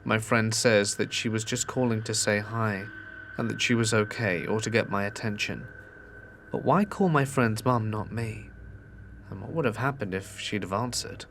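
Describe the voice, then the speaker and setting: A man's voice: lowish voice, normal speed, slight background noise. Man narrating ghost stories. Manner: steady storytelling delivery, reading submitted accounts.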